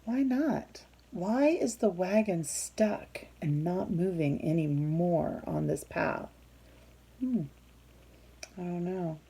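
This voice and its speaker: A woman reading a picture book aloud to young children, expressive and teacherly, pausing to put questions to her listeners. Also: fairly low pitch, husky.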